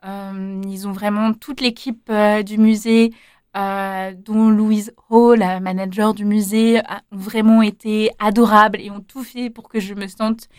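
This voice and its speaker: A woman's voice: mid-range voice, level tone, measured pace, darkish tone, clean tone, no echo, studio quality. A young woman answering interview questions casually, hesitant, with many fillers and restarts. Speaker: interviewee, former volunteer.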